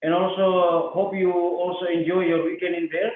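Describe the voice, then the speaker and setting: A man's voice: high voice, level tone, normal speed. A man moderating a casual online discussion, hesitant and repeating his phrases. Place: echoey room on a video call. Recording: echoey, tinny noisy video call.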